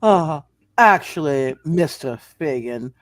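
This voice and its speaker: Man as formal, higher-voiced dutiful superheroine. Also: lively intonation, no echo, studio quality. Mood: polite, earnest. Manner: formal, measured.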